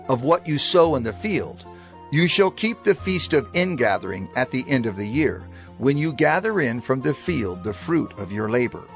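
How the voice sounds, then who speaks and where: A man's voice: mid-range voice, fairly bright tone. A man playing God as a stern, solemn lawgiver, declaiming commandments emphatically in a dramatized audio Bible. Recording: music under the voice.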